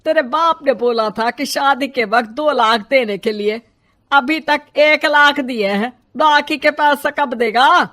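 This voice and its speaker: A woman's voice: high voice, unhurried, sharp tone. A woman voicing a greedy, nagging older mother-in-law in a storybook tale, scornful and sarcastic. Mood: scornful, demanding.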